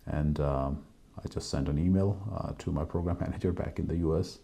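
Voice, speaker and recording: A man's voice: extremely low pitch, steady tone, fairly quick, creaky, mellow. A man in his forties from India, hosting a solo podcast and telling his life story in a hesitant way, with many fillers. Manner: hesitant, many ums and uhs, restarts. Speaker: host.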